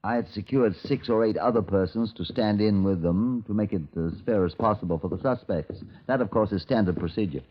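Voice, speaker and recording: An actor playing a senior police detective, formal, dry and commanding, in a 1950s radio drama. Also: male voice, lowish voice, fairly quick, hardly any pauses, lightly acted. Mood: dry, measured. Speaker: detective narrating his case. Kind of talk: 1950s radio drama acting and scripted narration. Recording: dull, lo-fi 1950s radio recording.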